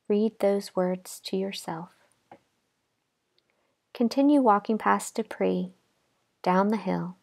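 A woman leading a guided imagery relaxation exercise in a calm, gentle, unhurried manner with pauses. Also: mid-range voice, vocal fry. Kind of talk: guided imagery meditation narration.

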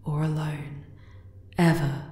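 A woman voicing a regal, adoring spider-woman queen in an intimate ASMR romance roleplay, tender and possessive, breathy and often slipping into a whisper. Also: low voice, little pitch movement, warm tone, echoey room. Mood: tender, devoted. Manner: slow, doting, sensual endearments.

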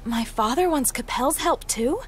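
High, animated young princess. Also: female voice, unhurried, a little hiss or hum. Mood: concerned. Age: young adult. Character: young princess, earnest, girlish, polite yet playful.

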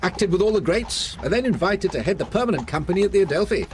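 An actor playing a decent, inquisitive gentleman doctor in a radio drama, British, with a polite, earnest manner. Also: high-pitched, sing-song, quick pace, slight background noise. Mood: interested.

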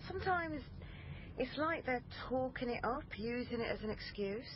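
An actress playing an observant, sober police family liaison officer in a radio crime drama. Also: female voice, high-pitched, animated, normal speed, muffled recording, noisy background. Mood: thoughtful. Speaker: lead actress and first-person narrator. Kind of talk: radio crime drama, intimate narration and dialogue.

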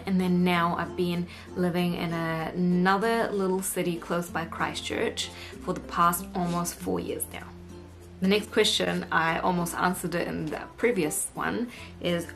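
A woman in her late twenties with a New Zealand accent, chatting casually to camera as a vlogger. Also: fairly low pitch. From Egypt, moved to New Zealand aged nine. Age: late 20s. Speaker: YouTuber hosting a vlog. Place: indoor room at home. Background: background music.

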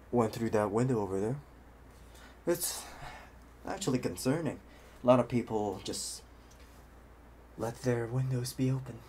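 A man playing an obsessive, playful young intruder in an ASMR roleplay, coaxing the listener like a doting owner, with hesitant pauses and hushed asides. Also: medium pitch, a little hiss or hum, lightly acted. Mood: playful, smug.

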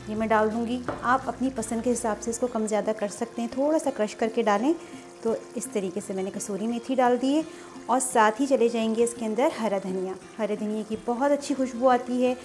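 A woman's voice: fairly high pitch, a little mellow. A woman hosting a cooking show, explaining each step chattily and often praising the dish. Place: home kitchen at the stove.

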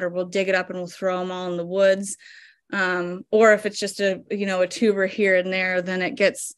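A woman's voice: medium pitch, a bit bright, clear, resonant tone, no echo. A woman in her thirties, a flower farmer guest explaining her work step by step with lots of fillers, over a video call from home. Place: home room indoors. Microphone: video call webcam.

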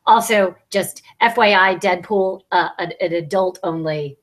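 Slightly low-pitched woman on a panel. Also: unhurried. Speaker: podcast panelist. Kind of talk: conversational explanation. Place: small plain room, indoors. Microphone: earbud headset on video call.

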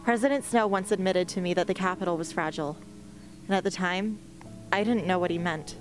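A young woman playing a determined, anguished teenage girl rebel in an amateur radio play, speaking earnestly and with measured care. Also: lowish voice, soft background music, lightly acted. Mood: sombre, resolute. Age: young adult. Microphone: close studio microphone on stand.

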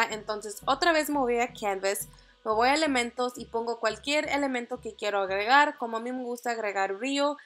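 Young woman speaking her second language, chatty tutorial voiceover, kinda high voice, music under it. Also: a bit bright. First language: English. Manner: chatty, upbeat, with small fillers and restarts. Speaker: beauty vlogger. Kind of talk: screen-recorded tutorial voice-over.

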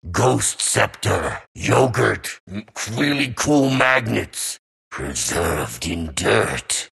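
A man voicing an ancient, bitter undead zombie warrior for a video game, raspy and guttural, slow and theatrically menacing. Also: mid-range voice, bright, forceful tone, heavily acted. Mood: ominous announcing, then grim. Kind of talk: video game character voice lines.

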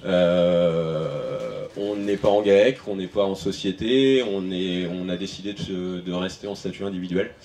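A man in his thirties speaks on a panel in a large event tent, hesitant and halting, full of fillers and restarts. His voice sits low, with faint ambient noise behind him. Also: darkish tone. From France (Maine-et-Loire). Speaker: farmer on panel. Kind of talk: panel discussion answers. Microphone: handheld microphone.

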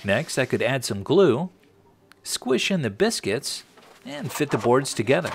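A man's voice: medium pitch, sing-song, measured pace, creaky, a little hiss or hum. A middle-aged American man narrating a scripted woodworking tutorial in a wry, tongue-in-cheek manner. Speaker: host of a woodworking channel.

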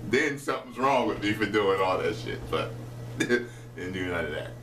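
A low-voiced man in his thirties rants to camera in slangy, profane street talk, full of asides to his viewers. He sits a few feet from the camera in a small kitchen, so the voice sounds roomy and a little distant, with a faint hiss under it. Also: lively intonation, moderate pace, a bit bright. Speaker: vlogger. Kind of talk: casual storytelling to camera. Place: small home kitchen, indoors. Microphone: camera a few feet away. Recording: roomy echo, voice a bit off-mic, faint hiss.

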